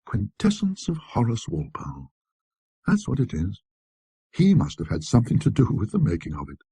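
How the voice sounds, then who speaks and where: A man voicing a delighted gentleman antique collector in a storybook, murmuring to himself in husky, self-satisfied musing. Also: medium pitch, wildly swooping pitch, moderate pace, no room sound, full character voice. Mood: murmured awe.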